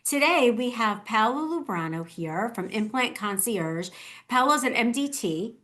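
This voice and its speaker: A woman's voice: on the high side, lively intonation, a little slow, a bit bright. A woman speaking as the host of a webinar, introducing a guest and addressing attendees.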